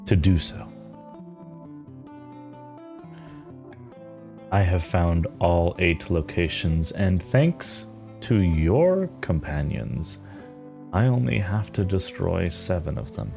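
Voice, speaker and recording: A low, gravelly, unhurried matriarch played by a man for a tabletop roleplay. The character is solemn and commanding, devoted yet ruthless, and leaves long pauses between phrases. Music plays softly underneath. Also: full character voice. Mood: solemn, intense. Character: grave, determined, authoritative mother, devoted and ruthless.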